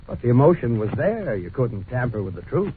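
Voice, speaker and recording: A narrow, hissy vintage broadcast features a male announcer. He speaks at a medium pitch in a formal, measured 1940s radio style, with the polish of a practised pitchman. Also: very animated. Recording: scratchy, muffled old radio broadcast recording.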